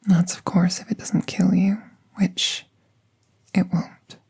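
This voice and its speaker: A woman playing a gentle magical veterinarian in an ASMR roleplay, soothing and reassuring in a hushed, breathy voice. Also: fairly low pitch, little pitch movement, slow, full character voice. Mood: calm, serious.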